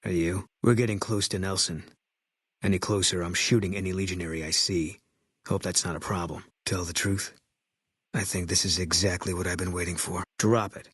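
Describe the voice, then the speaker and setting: A man voicing a grim, embittered military sniper in a video game, terse and guarded, with a husky voice. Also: low voice, normal speed, no room sound, studio quality. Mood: somber, reflective, then grim, resolute. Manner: terse, guarded, flat, clipped delivery. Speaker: video game companion character. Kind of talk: game voice acting, terse dialogue lines.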